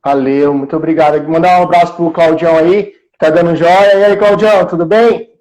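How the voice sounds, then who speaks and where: High-voiced Brazilian man hosting a livestream. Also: fairly even intonation, a little fast, a little mellow, poor audio. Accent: Brazilian, São Paulo interior. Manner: friendly, informal. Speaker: live show host. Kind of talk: casual live interview chat. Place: remote video call livestream.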